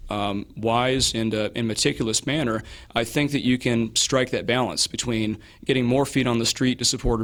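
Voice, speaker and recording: A hoarse man answering interview questions as a local candidate, measured and hedging, with frequent fillers and restarts. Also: mid-range voice, fairly bright tone. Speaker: political candidate.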